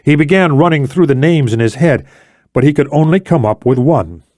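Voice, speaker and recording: A man's voice: a little high, lively intonation, normal speed, mellow, dry recording, studio quality. A man reading a thriller audiobook as dramatic scripted narration.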